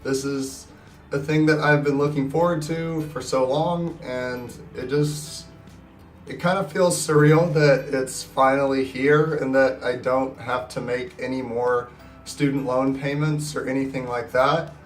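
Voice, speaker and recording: A man's voice: mid-range voice, fairly even intonation, unhurried. Young man in his twenties, sick, nasal and scratchy, upbeat and rambling, roomy echo, set back from the mic. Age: 20s. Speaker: personal finance vlogger. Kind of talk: casual talking-to-camera vlog. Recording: roomy echo, distant mic, faint guitar music.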